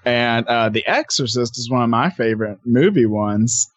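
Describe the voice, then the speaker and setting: A man talking casually as a podcast guest, explaining things in a halting, conversational way. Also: mid-range voice, lively intonation.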